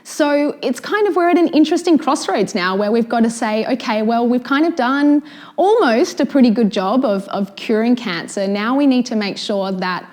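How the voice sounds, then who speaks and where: A woman's voice: on the high side, lively intonation, normal speed, a little mellow. A woman with an Australian accent giving articulate interview answers as a researcher. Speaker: cancer researcher, panel guest.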